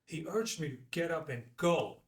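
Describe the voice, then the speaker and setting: A man's voice: on the high side, normal speed, lightly acted. A man acting the part of a charismatic bestselling mountaineer in his late thirties at a table read, speaking in a theatrical, weighty way. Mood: solemn, reverent. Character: charismatic best-selling mountaineer, late thirties, slick and self-mythologizing. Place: indoor room at a filmed table read. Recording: echoey room, distant microphone.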